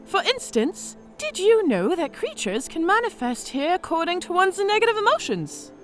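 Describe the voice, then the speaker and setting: A battle-scarred orc woman with a high, lively voice that rises and dips as she spins war tales. She speaks with theatrical, measured weight in a fantasy audio drama, over faint music. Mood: musing. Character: battle-scarred female orc veteran officer, worldly storyteller. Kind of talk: audio drama character acting.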